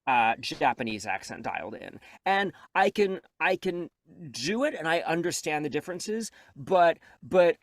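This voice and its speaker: A man, an audiobook narrator and coach, talking as a guest in a candid interview. He is reflective and self-deprecating, and he speeds up when he gets animated. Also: on the high side, unhurried, hardly any pauses, dry recording.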